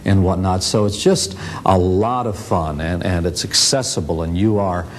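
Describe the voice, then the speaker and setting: A man giving an informal explanation in an interview, hesitantly listing things with halting pauses. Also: sits low, a little hiss or hum.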